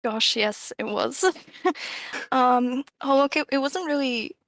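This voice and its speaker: Female game developer guest, creaky mid-pitched voice, casual and slightly hesitant, close dry recording. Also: slow pace, fairly bright tone, dry recording. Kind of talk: casual interview chat.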